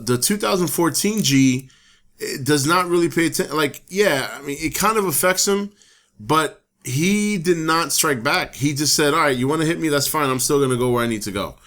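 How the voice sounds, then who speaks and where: A man with a hoarse voice hosting his own talk show, thinking aloud in a casual, rambling way. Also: a little high, a bit bright. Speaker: host. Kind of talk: casual solo talk to camera.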